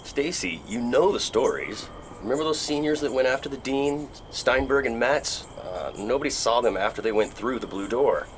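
A man's voice: medium pitch, animated, normal speed, slight background noise, lightly acted. A young man playing a nervous, cautious student conspirator in an audio drama. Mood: fearful.

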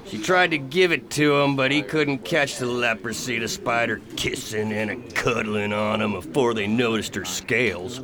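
A man acts a plain-spoken sea captain of old in a radio drama. His creaky, medium-pitched voice has a folksy sailor's dialect. A crowd murmurs behind him. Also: close to an everyday voice. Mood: grim. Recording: busy crowd noise behind voice.

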